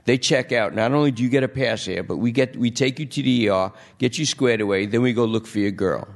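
A man's voice: medium pitch, brisk, pitched above the performer's own voice, natural voice. A man voicing a blunt male police detective who coaxes and bargains in a dry, persuasive way. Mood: brisk.

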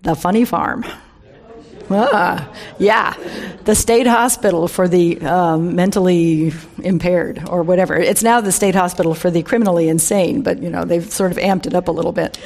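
An American woman in her seventies speaks in a slightly low voice. She tells stories informally to a live audience, conversational and humorous, stressing key words and slipping in wry asides. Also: fairly even intonation, moderate pace, creaky, darkish tone. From San Francisco Bay Area, USA. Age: 70s. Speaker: guest speaker, wine industry veteran.

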